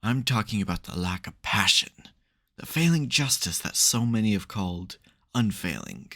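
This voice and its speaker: A man's voice: fairly low pitch, animated, moderate pace, gravelly, sharp tone, full character voice. A man playing a smug, theatrical supervillain who secretly cares, in a dramatic, wry, chatty way for an ASMR roleplay. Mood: exasperated, flustered.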